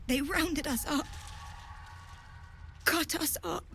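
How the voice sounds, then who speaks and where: A woman playing a frightened, grieving young refugee in a video game, tearful and halting. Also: extremely high pitch, slight background noise, full character voice. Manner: halting, fragile. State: tearful, sighing. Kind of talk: dramatic video game acting.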